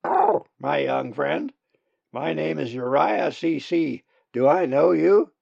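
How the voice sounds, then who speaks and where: A man voicing an old, tattered, one-eyed male raven with a raspy, theatrical, booming manner. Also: very animated, slow pace, no echo, full character voice. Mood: grand, theatrical. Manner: grand, loud, theatrical. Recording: dull lo-fi recording.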